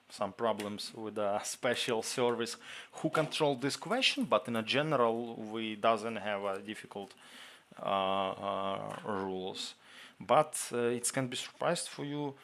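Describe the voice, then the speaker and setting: A man with a Ukrainian accent, a native Ukrainian speaker, hosting a casual livestream Q&A, reading viewer questions aloud and answering in halting English. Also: fairly low pitch, a bit bright. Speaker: livestream host answering chat.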